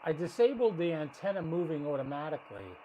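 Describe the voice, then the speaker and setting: A man's voice: a little high, big pitch swings, quick pace, dark tone, slight background noise, poor audio. A man giving casual live commentary as a radio stream host, thinking aloud and explaining as he goes, often restarting his sentences. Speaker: ham radio livestream host.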